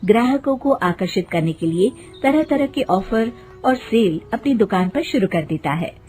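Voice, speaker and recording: A woman's voice: medium pitch, expressive, normal speed, mellow, soft background music. A woman narrator reading a scripted moral tale.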